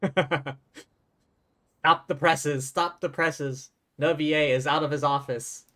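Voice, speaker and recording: A man's voice: a little high, fairly even intonation, slow, pushed and bright. A man giving casual, wry live commentary as he streams a video game, with game music behind him. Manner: wry, sarcastic.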